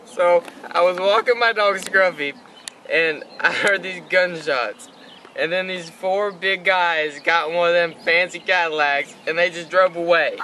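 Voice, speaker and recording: A man playing an excitable, folksy eyewitness in an amateur 1920s radio news skit, telling his story colloquially. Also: sits high, measured pace, slight background noise. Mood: excited.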